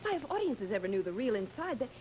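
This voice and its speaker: A woman's voice: on the high side, sing-song, quick pace, darkish tone, slight background noise. An actress playing a tough, sassy woman press agent in an old radio drama, snappy and slangy. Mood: sassy, brisk. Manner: snappy, slangy, hard-boiled. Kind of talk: 1940s radio drama acting. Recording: vintage radio recording, music behind.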